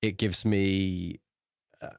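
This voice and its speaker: A man's voice: sits low, little pitch movement, slow pace, creaky, clean tone, no room sound, studio quality. A man co-hosting a podcast in a casual, conversational style. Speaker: podcast co-host discussing books.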